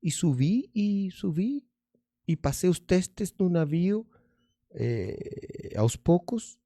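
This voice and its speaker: A middle-aged man with a Spanish accent, originally from Argentina, telling stories casually as an interview guest. His voice is gravelly, with a rough, fry-laden texture. He rambles, restarts and slips in Spanish words, recorded dry in a studio. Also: high-pitched, very animated, slow pace, dark tone, no room sound. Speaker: guest, magician and comedian.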